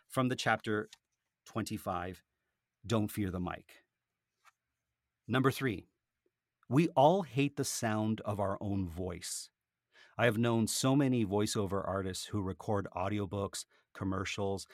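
Low-voiced man in a dry recording. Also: no echo. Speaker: podcaster and author, interview guest. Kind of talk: casual conversational interview, with a read-aloud book passage.

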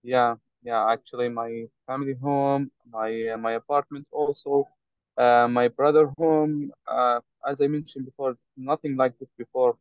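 A man born in Saudi Arabia, speaking haltingly with many hesitations as a guest in an interview. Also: mid-range voice, steady tone, smooth, dry recording. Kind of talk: interview over a call. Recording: tinny choppy call line.

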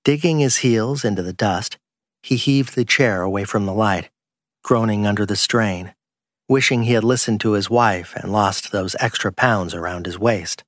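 Low-voiced man narrating, dry studio sound. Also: normal speed, no echo. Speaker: audiobook narrator. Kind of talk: scripted narration of crime fiction.

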